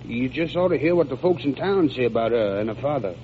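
An actor playing a simple, protective country servant with folksy, ungrammatical speech, in 1940s radio style. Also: male voice, mid-range voice, expressive, fast talker, natural voice. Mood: disapproving. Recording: muffled, noisy old radio recording.

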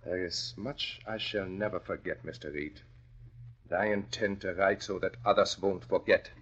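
A man's voice: low voice, fairly even intonation, normal speed, fairly bright tone, a little hiss or hum. A man acting as an earnest, formal immigrant doctor, grave in manner, in a 1940s radio drama. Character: earnest immigrant doctor, survivor of Nazi prison camp. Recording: dull vintage radio recording.

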